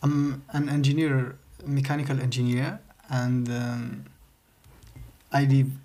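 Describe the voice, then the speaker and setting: A man's voice: medium pitch, little pitch movement, takes it slowly, mellow. A man from Algeria with an Algerian accent, speaking as a podcast guest and searching for his words. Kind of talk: informal interview talk.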